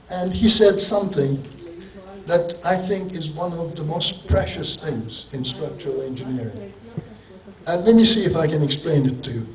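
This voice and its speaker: A man's voice: on the high side, a little mellow. Man lecturing in an echoey hall. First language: Turkish. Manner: rambling, self-deprecating, poses rhetorical questions, chuckles. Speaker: engineering professor giving a lecture. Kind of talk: conference lecture. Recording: echoey hall, voice picked up from a distance.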